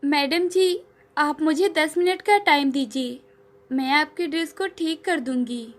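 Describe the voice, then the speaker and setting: Cartoon role: poor, respectful young wife, performed by a woman, high-pitched, unhurried, gently reassuring manner. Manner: polite, reassuring. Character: poor humble young housewife, skilled and respectful.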